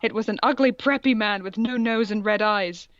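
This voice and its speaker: A woman's voice: medium pitch, moderate pace, no room sound, muffled recording. A woman reading aloud in a casual comic style, with sardonic, sweary asides and a theatrical touch. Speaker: co-host reading fan fiction aloud.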